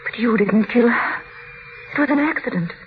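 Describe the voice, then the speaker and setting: An actress in an old radio drama playing a devoted, anxious young wife, earnest and pleading, on a muffled, noisy vintage radio recording. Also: female voice, fairly high pitch, animated, normal speed, close to an everyday voice. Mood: urgent, loyal.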